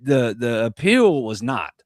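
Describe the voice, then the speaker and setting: A man's voice: medium pitch, sing-song, measured pace, no echo, studio quality. A man from North Mississippi, hosting a live sports podcast in a rambling, joking, self-deprecating way. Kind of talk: casual live sports chat.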